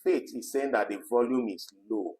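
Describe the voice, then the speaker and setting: A man's voice: medium pitch, moderate pace, darkish tone. A man co-hosting a casual live discussion, chatty and full of "you know" fillers, reading out viewer comments. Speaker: co-host of an online talk program.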